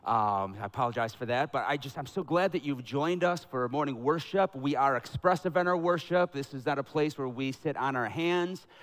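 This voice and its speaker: A middle-aged man pastoring a church, preaching in a conversational, energetic style that builds to loud emphatic peaks, on a headset mic. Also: mid-range voice, moderate pace, hardly any pauses. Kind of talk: sermon with announcements and prayer. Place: church sanctuary.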